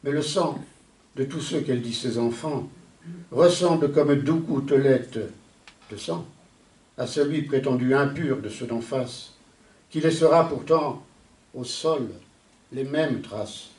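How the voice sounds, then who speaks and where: A man recites poetry in a solemn, declamatory way, in measured phrases with deliberate pauses. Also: mid-range voice, a little mellow. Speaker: poet reciting a poem. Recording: echoey, distant from the mic.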